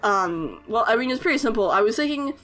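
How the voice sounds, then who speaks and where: A woman's voice: fairly high pitch, lively intonation, a little fast, a bit bright, faint music behind. A young woman chatting casually in a voice-over while she edits, hesitant, with lots of fillers and self-conscious little laughs. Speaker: artist and animator YouTuber. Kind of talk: casual voice-over over editing footage.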